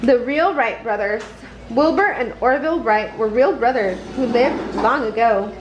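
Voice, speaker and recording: A woman reading a children's picture book aloud in a deliberate, expressive storytelling manner. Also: fairly high pitch, background noise. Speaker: storybook reader.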